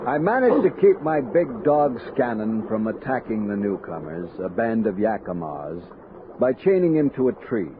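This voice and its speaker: An actor playing a steady frontier expedition captain, telling his story in measured 1960s radio drama style. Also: on the low side, background noise. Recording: muffled 1960s radio recording with music.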